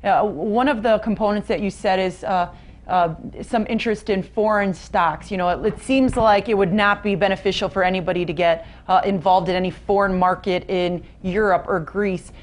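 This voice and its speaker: A woman interviewer with a mid-range voice who puts her questions haltingly, pausing on fillers as she searches for words. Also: medium pitch, fairly even intonation.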